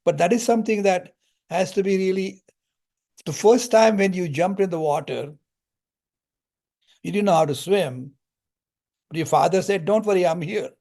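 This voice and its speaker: An older man giving a spiritual discourse, explaining ideas through calm parables and Sanskrit terms, then answering questions from the audience. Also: sits high, normal speed, long pauses. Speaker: spiritual lecturer.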